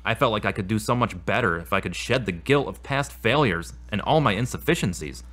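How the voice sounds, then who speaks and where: A man's voice: lowish voice, lively intonation, a little fast, fairly bright tone. A man acting as a troubled, self-doubting therapy patient in a scripted audio drama, speaking in an earnest, confessional way. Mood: earnest, guilty. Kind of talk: scripted audio drama dialogue and spoken prayer.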